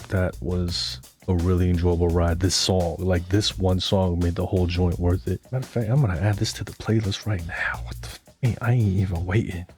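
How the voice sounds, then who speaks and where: A very low-voiced man speaks in loose, slangy reaction-video chat, swearing now and then. His voice is worn and hoarse from a long session of talking, and faint music plays behind him. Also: darkish tone. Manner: slangy, laid-back, swears casually. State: hoarse, tired voice. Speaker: music producer reacting to an album. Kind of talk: casual reaction commentary.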